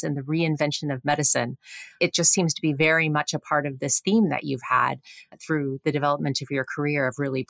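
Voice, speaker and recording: A woman's voice: deep voice, gravelly, fairly bright tone, no room sound. A woman hosting a professional medical interview podcast, with an effusive, encouraging manner toward the guest. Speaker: podcast host, interviewer, physician.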